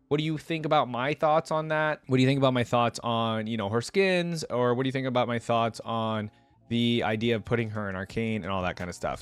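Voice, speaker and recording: A man's voice: on the low side, no room sound. A young adult man, hosting a reaction video in casual, rambling chat.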